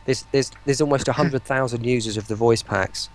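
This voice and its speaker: A man's voice: medium pitch, a little fast. A man chatting casually as an interview guest, hesitating and repeating words as he explains his work. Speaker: interview guest, voice pack producer.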